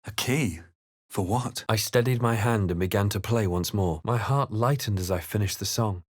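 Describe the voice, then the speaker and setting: An actor playing a composed, brooding adventurer in a video game, recounting the journey with measured, grave, dramatic narration. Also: male voice, lowish voice, no room sound, studio quality, natural voice. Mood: musing.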